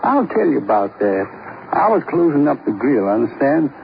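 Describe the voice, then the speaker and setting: An actor playing a gruff, talkative grill owner with folksy, rambling talk in a 1950s radio drama. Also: male voice, mid-range voice, very animated, normal speed. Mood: sardonic, irritable. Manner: rambling, folksy, colloquial drawling phrasing. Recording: muffled, noisy 1950s radio recording.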